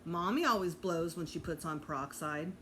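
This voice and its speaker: Woman voicing an excitable, blunt little storybook boy. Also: fairly low pitch, moderate pace, slight background noise. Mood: blunt, eager. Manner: blunt, eager, boastful. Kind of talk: children's storybook character voice.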